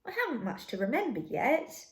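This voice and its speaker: A woman's voice: high-pitched, big pitch swings, normal speed, roomy sound, pitched above the performer's own voice. A woman voicing a timid, earnest young male baby barn owl in a children's storybook, with a plaintive, childlike manner.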